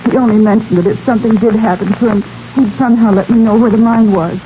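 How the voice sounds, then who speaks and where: Polite, measured daughter in a radio western, female actor, higher voice, noisy 1940s broadcast recording. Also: fairly even intonation, highs cut off, close to an everyday voice. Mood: composed, sorrowful. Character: polite, composed grieving daughter of a prospector. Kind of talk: 1940s radio drama acting.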